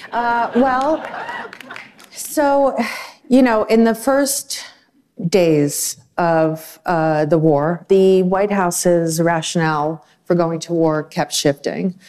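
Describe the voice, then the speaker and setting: A woman from Russia, a journalist on a panel, speaking briskly and wryly and setting out her points one by one. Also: lowish voice, darkish tone. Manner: brisk, wry, lays out points in sequence. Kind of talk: panel discussion commentary.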